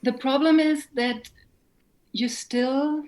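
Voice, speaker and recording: A woman with a German accent speaks as a guest in a thoughtful interview. Her voice sits slightly high and moves slowly. She is reflective, pausing to search carefully for the right words. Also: fairly even intonation, a little mellow, low-quality recording. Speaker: interview guest, singer and writer.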